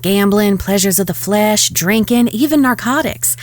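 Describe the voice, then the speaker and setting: A woman playing a proud, sharp-tongued ranch woman in a western audio drama, composed and stern. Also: medium pitch, moderate pace, lightly acted. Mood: grave, scornful.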